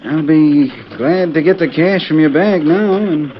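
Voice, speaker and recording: An actor playing a folksy, insinuating frontier stagecoach traveler with a rustic Western drawl, in old-time radio western style. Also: male voice, fairly high pitch, unhurried, close to an everyday voice. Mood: ingratiating, prying. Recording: muffled, hissy old radio broadcast.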